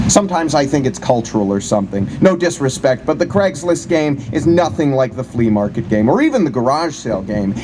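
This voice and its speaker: A man giving a live dramatic reading of a comic first-person story in a wry, colloquial way. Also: medium pitch. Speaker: live reader of short fiction. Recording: noisy live recording with steady ambient hum.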